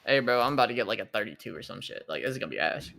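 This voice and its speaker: A man's voice: fairly low pitch, lively intonation, rapid-fire, gravelly, noisy background. A man playing a tabletop roleplay, chatting casually in a slangy, sweary way. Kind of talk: casual chat during a game.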